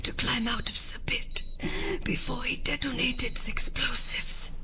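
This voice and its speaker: An actress playing a shaken, dedicated female scientist in an audio drama, speaking in a slow, hushed way. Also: a little high, fairly even intonation, whispered, natural voice. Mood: haunted, shaken. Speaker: voice actor. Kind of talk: modern audio drama acting. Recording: dramatic music under the voice.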